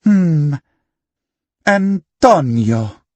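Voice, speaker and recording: A man voicing a flamboyant, opinionated pub regular in an audiobook, exclaiming with affected, drawn-out emphasis. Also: a little high, huge pitch swings, dark tone, husky, dry recording, voice pushed up, exaggerated voice. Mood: musing. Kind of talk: audiobook character acting.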